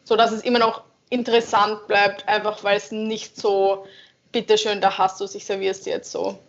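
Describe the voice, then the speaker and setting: A woman's voice: medium pitch, level tone, moderate pace, a little hiss or hum. A young woman songwriter answering interview questions casually, hesitant and searching for words, with frequent laughs. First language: German. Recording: dull lo-fi sound.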